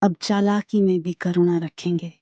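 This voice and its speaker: A woman's voice: lowish voice, steady tone, moderate pace, warm tone, no echo, highs cut off, lightly acted. A woman voicing a sly female jungle animal, humbled and rueful, in a cartoon story. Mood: remorseful, thoughtful.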